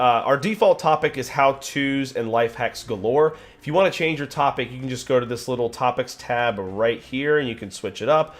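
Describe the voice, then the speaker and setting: A man presenting a software tutorial, upbeat and chatty, his voice a little nasal from being sick. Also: medium pitch. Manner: upbeat, chatty, casual fillers. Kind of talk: screen-recorded software tutorial.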